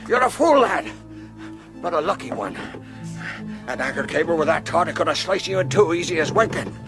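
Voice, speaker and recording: Gravelly, wily old pirate. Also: male voice, on the high side, lively intonation, a little fast, over music. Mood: gruff teasing. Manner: taunting, salty seafaring dialect. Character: wounded, wily old pirate sailor, menacing and mocking. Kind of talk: radio drama acting.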